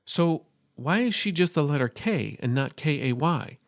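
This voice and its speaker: Man voicing a curious young girl, pitched up. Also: animated, normal speed, creaky, no room sound, clean studio sound. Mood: questioning. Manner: inquisitive, insistent. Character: curious, stubborn young girl, persistently questioning. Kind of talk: storybook character voice.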